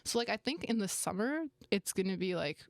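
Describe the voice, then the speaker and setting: Young woman, Romanian native, casual guest chat, filler-heavy, mid pitch, dry sound. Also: animated, a little fast, no room sound. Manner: chatty, many fillers. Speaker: sports media guest.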